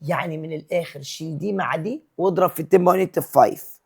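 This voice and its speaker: High-voiced Egyptian physics teacher. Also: male voice, creaky, a little mellow, slightly rough. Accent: Egyptian Arabic. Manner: mixes English terms and numbers into Arabic, reads questions slowly. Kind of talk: solving exam problems step by step.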